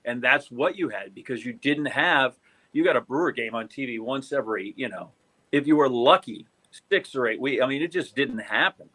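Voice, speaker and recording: Mature American male sports veteran, bright forceful tone, halting, meandering podcast recollections. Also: mid-range voice, lively intonation, low-quality recording. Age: middle-aged or older. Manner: halting, rambling, conversational. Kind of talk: casual reminiscing chat.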